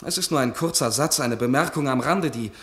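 A man's voice: mid-range voice, a little fast, fairly bright tone, lightly acted. An actor playing a young army doctor and poet who pleads with his ruler in a radio play, deferential and earnest. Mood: pleading. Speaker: regimental medic and playwright. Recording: roomy radio drama studio.

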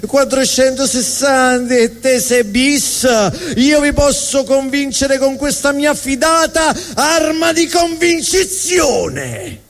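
A man's voice: very high voice, slow, hardly any pauses, a bit bright, soft background music, pitched above the performer's own voice, exaggerated voice. A man playing a bumbling, self-important policeman in a comic stage monologue, garbling officialese and repeating himself. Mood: frantic, threatening. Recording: reverberant hall, live stage.

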